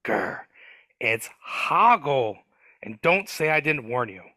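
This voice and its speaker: A man voicing a grumpy, gruff male dwarf gardener, speaking in a cagey, grumbling way over a video call. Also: medium pitch, big pitch swings, slow pace, bright, forceful tone, dry recording, studio quality, exaggerated voice. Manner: grumbling, cagey, rough working-class speech. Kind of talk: live dramatic reading over video call.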